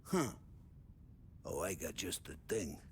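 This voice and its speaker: An actor playing a genial, chatty 1930s mob armorer with a streetwise American accent in a video game. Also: male voice, low-pitched, very animated, slow, exaggerated voice. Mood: playful, helpful. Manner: colloquial, wisecracking, easygoing. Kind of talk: video game cutscene acting. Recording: loud background noise with clicks.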